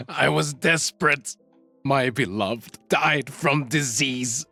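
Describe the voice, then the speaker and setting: An actor playing a desperate, grieving kidnapper in a video game, with a rough voice and dramatic delivery. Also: male voice, on the high side, a bit bright, heavily acted. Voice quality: gravelly, rough voice. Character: desperate grieving male kidnapper, a remorseful villain. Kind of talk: video game cutscene acting.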